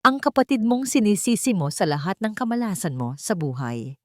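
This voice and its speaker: A woman voicing a wealthy father in an audio story, calm, measured and firmly in control. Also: medium pitch, dry recording, clean studio sound, voice pushed up, lightly acted. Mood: cold, firm. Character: wealthy father, controlled, firm, confronting, tender with children.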